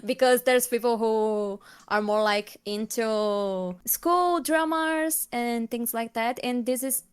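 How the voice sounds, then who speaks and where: A woman's voice: a little high, takes it slowly, clear, resonant tone. A young woman from Brazil with a Brazilian Portuguese accent, a Portuguese native speaker chatting as an interview guest, often restarting and searching for words. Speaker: guest, K-pop cover singer. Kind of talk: casual interview chat.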